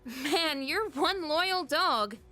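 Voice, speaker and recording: A woman voicing a friendly, expressive high-school girl in a video game. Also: very slow, soft background music, exaggerated voice. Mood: frank.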